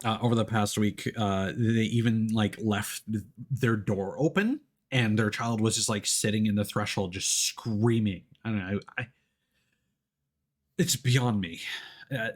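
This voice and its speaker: A man's voice: fairly low pitch, unhurried. A man doing casual live game commentary, thinking aloud into a streaming mic with game music behind him. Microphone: streaming microphone with headphones.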